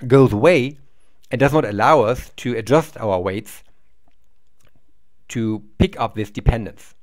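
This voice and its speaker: Man, middle-aged, university lecture, lively pitch, explanatory, small room. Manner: explanatory, repeats and restarts words. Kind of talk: recorded lecture over slides.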